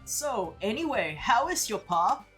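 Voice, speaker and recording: A woman's voice: a little high, very animated, a little slow, fairly bright tone, faint music behind, voice pushed up. A woman voicing a friendly older male carpenter who talks in a homespun drawl, with "howdy" and "ain't". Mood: folksy.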